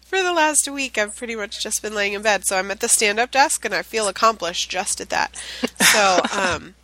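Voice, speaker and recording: A woman's voice: mid-range voice, expressive, normal speed, pushed and bright, dry recording, rough recording. A woman co-hosting a podcast in casual chat, rambling with lots of ums while recovering from the flu. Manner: chatty and rambling, with frequent ums. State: recovering from the flu, with a chest cough. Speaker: podcast co-host. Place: home office, at a standing desk.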